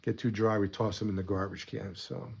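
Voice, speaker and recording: A man's voice: lowish voice, flat delivery, brisk, vocal fry, darkish tone, slightly rough, lo-fi sound. A man hosting a casual hobby weathering tutorial at his desk, chatty and rambling, a bit stuffy from a coming cold. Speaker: model weathering host.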